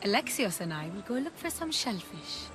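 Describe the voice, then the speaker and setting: A woman's voice: medium pitch, very animated, normal speed, noisy background, close to an everyday voice. An actress playing a wry, affectionate young wife and mother in an ancient Greek video game drama. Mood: light, fond. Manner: wry, gently teasing.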